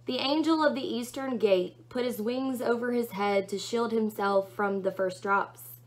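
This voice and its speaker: Young woman reading aloud, fairly high voice. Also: a little slow, poor audio. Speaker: book reader. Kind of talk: storybook narration read aloud. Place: small home room with desk.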